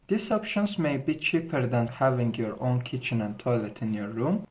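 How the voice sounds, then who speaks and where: A man's voice: medium pitch, a little mellow. A young man with an Azerbaijani accent, giving a scripted informational presentation as a student host, with formal, careful phrasing. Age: young adult.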